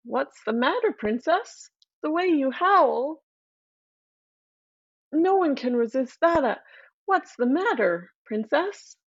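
A woman voicing a gentle, pleading frog. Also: sits high, very animated, slow pace, pauses often, no echo, lo-fi sound, voice pushed up, exaggerated voice. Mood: coaxing. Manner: gentle, coaxing. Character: lonely pleading male frog in a well.

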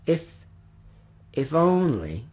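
Man playing a polite, pleading little old gentleman, husky. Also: mid-range voice, lively intonation, moderate pace. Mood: coaxing. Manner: courteous, old-fashioned, effusive.

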